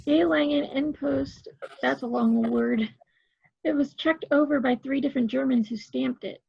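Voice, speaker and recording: A woman playing an earnest young woman who shares a soldier's letter in an amateur play reading over a video call, reading a little haltingly. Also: high voice, creaky, a little mellow, breathy edge, dry recording, exaggerated voice. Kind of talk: amateur play table read. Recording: muffled video call audio.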